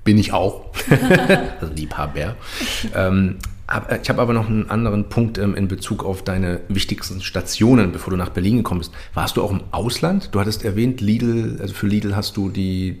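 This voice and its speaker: A man hosting an interview show, upbeat and laughing often between quick questions. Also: lowish voice, gravelly, a little mellow. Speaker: talk show host.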